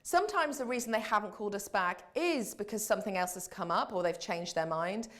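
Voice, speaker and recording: A woman's voice: medium pitch, animated, brisk, fairly bright tone. A woman hosting a business advice show, giving scripted coaching to camera in a polished, instructive and emphatic way.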